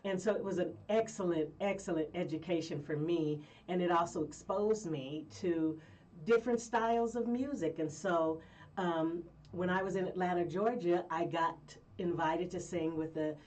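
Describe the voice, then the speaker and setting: Colorado singer lady rambling along, lowish voice, sounds a bit off-mic. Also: moderate pace, far from the mic. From Colorado, USA. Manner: rambling, lively storytelling with frequent laughs. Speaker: guest singer. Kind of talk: casual interview chat.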